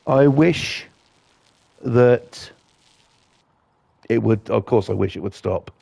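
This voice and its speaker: Gravelly man, blunt and sweary. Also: medium pitch, takes it slowly, dark tone, dry recording. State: croaky voice from a cold. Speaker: gaming channel host. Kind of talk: casual gameplay commentary with rants.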